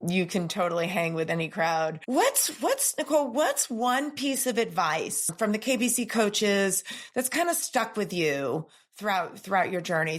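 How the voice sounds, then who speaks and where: A middle-aged woman hosting an interview in a casual, encouraging way, often repeating words as she starts. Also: medium pitch. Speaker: podcast host and gym owner.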